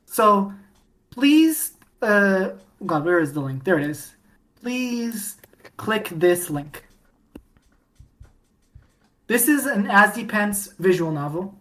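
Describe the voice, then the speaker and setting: A woman chatting as a podcast guest, rambling with many restarts and sudden bursts of excitement. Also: mid-range voice, takes it slowly, pauses often. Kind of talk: casual podcast chat.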